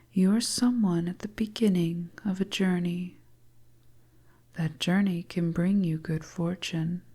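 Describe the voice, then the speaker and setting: A woman's voice: lowish voice, warm tone, breathy edge, exaggerated voice. A woman voicing a seductive, commanding witch fortune-teller in a whispered hypnotic ASMR roleplay, coaxing her listener.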